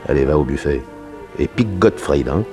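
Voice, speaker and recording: Deep-voiced sardonic gangster boss's henchman. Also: expressive, normal speed, mellow, faint music behind. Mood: brisk, commanding. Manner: slangy, bossy, sardonic. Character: cynical gang henchman, bossy and mockingly menacing kidnapper. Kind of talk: early 1960s French radio serial acting.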